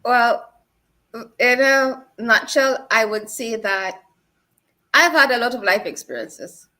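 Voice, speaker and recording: A woman's voice: on the high side, lively intonation, takes it slowly, bright, forceful tone. A middle-aged woman from Trinidad and Tobago, a guest telling her life story in an earnest, reflective way. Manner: earnest, personal storytelling with repeated phrases. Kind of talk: reflective interview talk.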